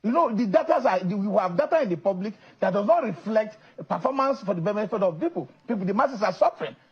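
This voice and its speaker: A man's voice: high-pitched, lively intonation, quick pace, breathy edge, highs cut off. A middle-aged man from northern Nigeria with a Nigerian accent, a political guest in a heated interview who insists on his point and often repeats words as he presses it.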